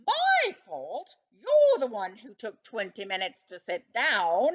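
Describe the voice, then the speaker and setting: Lady doing a simple good-natured boy dog from a kids book, baffled and earnest, pitch jumping up a lot. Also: huge pitch swings, slow pace, muffled recording, full character voice. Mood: indignant. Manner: earnest, questioning, protesting. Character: naive, good-natured male family dog, easily baffled.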